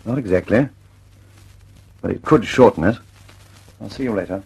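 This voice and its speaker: An absent-minded male inventor in an old radio drama. His voice is low, gravelly and husky, with polite, hesitant, mumbled phrasing. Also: normal speed, a little mellow, exaggerated voice, low-quality recording. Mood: distracted, polite.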